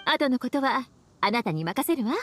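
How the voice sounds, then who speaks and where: A woman's voice: a little high, animated, moderate pace, fairly bright tone. Sultry teasing witch librarian. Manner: languid, teasing, calls herself big sister. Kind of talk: Japanese game dub.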